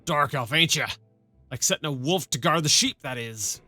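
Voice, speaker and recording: A man voicing a gruff one-armed old army sergeant with a put-on rustic dialect, drawling slowly, in a storybook reading. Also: on the high side, expressive, bright, forceful tone, faint music behind.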